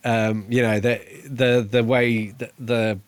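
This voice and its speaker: A man's voice: medium pitch, level tone, slow pace, clean tone, dry recording. A man co-hosting a podcast, talking informally and enthusiastically in a casual book review chat. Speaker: podcast co-host.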